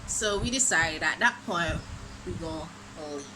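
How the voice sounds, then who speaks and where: A young woman from Jamaica with a Jamaican accent, telling a dramatic storytime for her vlog in an animated, exclamatory way. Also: fairly low pitch, measured pace. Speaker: vlogger. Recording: noisy background ambience.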